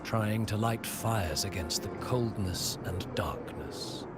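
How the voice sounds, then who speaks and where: A grave narrator of a dark fable in a game. His voice sits very low and moves slowly, with solemn, theatrical weight. Loud music plays behind him. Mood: ominous, bleak. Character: grave, ominous male narrator of a bleak fable. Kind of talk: dramatic scripted narration.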